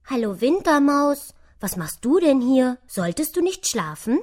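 A kindly, protective squirrel from a children's storybook, as a woman reader puts it on. It speaks up emphatically in a raised pitch, gently chiding and caring. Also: lively intonation, unhurried. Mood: surprised, chiding.

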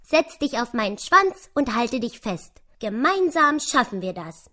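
A kindly, protective squirrel from a children's storybook, as a woman reader puts it on. It speaks up emphatically in a raised pitch, gently chiding and caring. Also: sing-song, a little slow, exaggerated voice. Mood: reassuring.

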